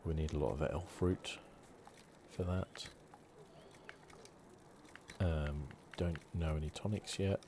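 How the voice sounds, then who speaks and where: A man softly commentating a video game playthrough in an ASMR style. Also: very deep voice, steady tone, slow pace, pauses often, a little hiss or hum. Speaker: gaming channel host.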